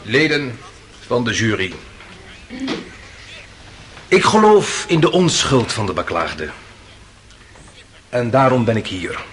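A man's voice: medium pitch, big pitch swings, slow pace, long pauses, fairly bright tone, a little hiss or hum. Passionate, wide-swinging male defence lawyer. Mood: earnest, passionate. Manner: rhetorical, emphatic, persuasive. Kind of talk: theatrical radio play courtroom oratory.

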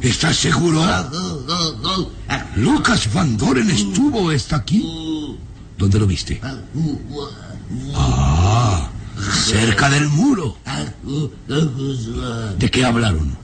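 An actor playing an irascible, imperious baron of a gloomy castle in an old radio serial, commanding and scornful. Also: male voice, medium pitch, sing-song, drawn-out words, pauses often, background noise, full character voice. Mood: urgent questioning.

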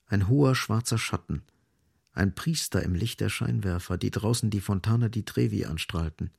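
A man's voice: on the low side, normal speed, vocal fry, a little mellow, slightly rough, no room sound, clean studio sound. A man reading literary scripted narration as the narrator of a radio drama.

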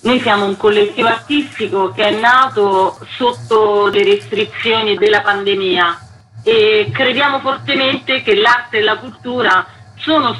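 A woman's voice: mid-range voice, bright, forceful tone, rough recording. A woman speaking as a festival curator in a radio interview, explaining things in a conversational way.

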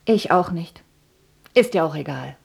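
A woman's voice: on the low side, expressive, a little slow, creaky, a little mellow, faint music behind, heavily acted. A woman playing a brooding, prickly grown daughter who narrates her own story in a radio drama with dry, clipped delivery. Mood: wry. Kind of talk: first-person radio drama narration and acting.

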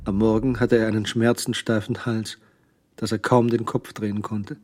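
A man's voice: lowish voice, flat delivery, normal speed, darkish tone, lightly acted. A man acting as the dry, observant narrator of a German crime radio drama. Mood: matter-of-fact.

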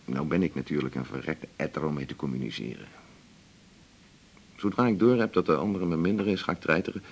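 An actor playing a lonely, teasing, self-mocking doctor in a naturalistic radio drama, talking in a wry, confiding way. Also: male voice, very deep voice, a little fast, vocal fry, slightly rough, heavily acted. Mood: wry, confessional. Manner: wry, confiding, short clipped phrases.

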